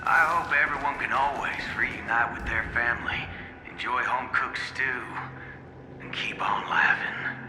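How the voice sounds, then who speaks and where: A man voicing a kindly figure speaking gentle, earnest wishes quietly in a game cutscene. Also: medium pitch, a little slow, music in the background, natural voice. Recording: echoey room.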